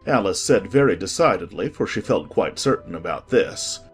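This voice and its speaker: Female narrator, extremely deep voice, scripted storybook reading, faint music underneath. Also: animated, moderate pace, slightly rough.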